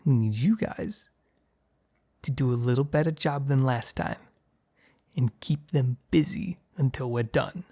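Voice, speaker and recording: A man playing a gruff, short-tempered mob boss in an ASMR roleplay, with a gravelly, husky voice. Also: medium pitch, animated, a little slow, long pauses. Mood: commanding, businesslike. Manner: commanding street-tough slang with crew, flustered hushed stammer with partner. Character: gruff, short-tempered male mob boss hiding his work from partner.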